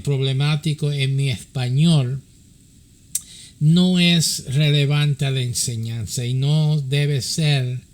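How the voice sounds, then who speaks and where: Man slowly speaking halting Spanish. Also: medium pitch. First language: English. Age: middle-aged. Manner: halting Spanish with many fillers; switches between English and Spanish. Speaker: pastor and counselor to pastors. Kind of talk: informal teaching talk.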